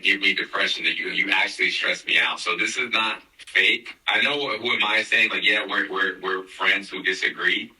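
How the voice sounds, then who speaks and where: Male podcast host, thirties, joking and teasing, somewhat low voice, roomy sound. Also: sharp tone, distant mic. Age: 30s. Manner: joking, teasing, laughs often. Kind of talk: casual podcast chat.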